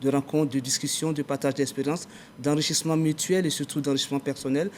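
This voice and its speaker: A man's voice: on the high side, fairly even intonation, fast talker, darkish tone, slight background noise. A man, an adviser in a ministry, talking as a guest on a TV talk show in long, formal, official-sounding sentences.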